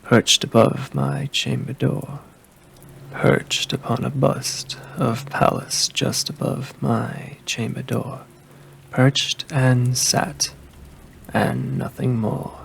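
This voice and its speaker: A man with a deep voice, heavy with gravelly fry, reads a poem in a slow, hushed ASMR style. He drifts in and out of whispers and never speeds up. Soft rain ambience sits faintly behind him. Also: a little hiss or hum, poor audio. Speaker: ASMR creator. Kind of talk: ASMR poetry reading.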